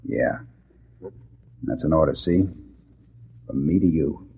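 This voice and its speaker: Low-voiced tough American gangster. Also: male voice, takes it slowly. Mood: impatient, threatening. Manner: slangy, commanding, brusque. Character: tough bossy male gangster, callous and threatening. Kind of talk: 1940s radio crime drama acting. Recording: dull, noisy old radio recording.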